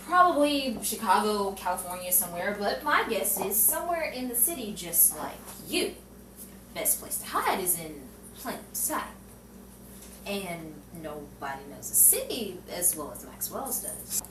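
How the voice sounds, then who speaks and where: A woman's voice: medium pitch, sing-song, unhurried. A woman playing a sardonic newspaper reporter in an old-time radio noir drama, with theatrical storytelling delivery. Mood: probing, wry. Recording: roomy distant stage recording, faint noise.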